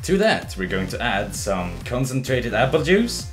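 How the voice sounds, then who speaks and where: A young man narrating a casual cooking video in a relaxed, joking way, with fillers. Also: medium pitch, measured pace. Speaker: cooking show host. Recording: voice-over with clear background music.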